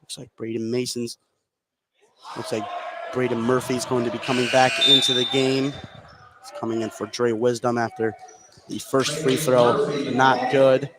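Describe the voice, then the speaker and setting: A man's voice: mid-range voice, level tone, measured pace. A man calling live basketball play-by-play for a radio broadcast from a gym. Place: indoor high school basketball gym, courtside. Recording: live gym broadcast, loud background noise. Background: loud gym ambience.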